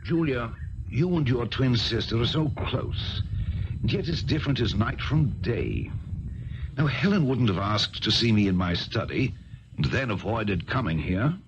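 A man's voice: mid-range voice, big pitch swings, measured pace. A man acting in a 1970s radio drama, playing an imposing stepfather with a theatrical, paternal manner. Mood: reproachful. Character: imposing stepfather, outwardly indulgent, English country doctor. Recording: dull noisy old radio recording.

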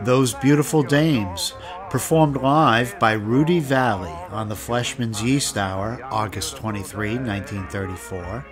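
A man's voice: fairly low pitch, measured pace, over music. A man hosting a podcast, reading scripted narration and credits. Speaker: podcast host.

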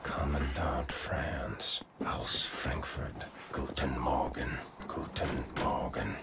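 An actor playing a stoic, terse soldier in a video game cutscene, with a low gravelly murmur. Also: male voice, steady tone, in a whisper, full character voice. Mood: muttering, guarded. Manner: terse, halting. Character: stoic, gruff American soldier hero undercover. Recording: music over voice.

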